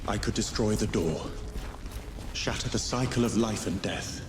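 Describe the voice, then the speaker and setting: Casting: man as weary game death god, very deep, grave, measured; echoey with noisy ambience. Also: close to an everyday voice. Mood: somber confession. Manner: solemn, measured, confessional. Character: regretful, weary death god, solemn and proud.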